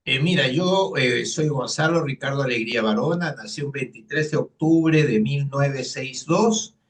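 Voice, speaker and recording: A man's voice: medium pitch, moderate pace, distant mic. A man in his sixties from Lima with a Peruvian accent, an economist telling his life story in an interview with many fillers. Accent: Peruvian Spanish, Lima. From Peru. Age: 60s. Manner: earnest, storytelling, frequent fillers. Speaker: economist, guest. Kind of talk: personal interview.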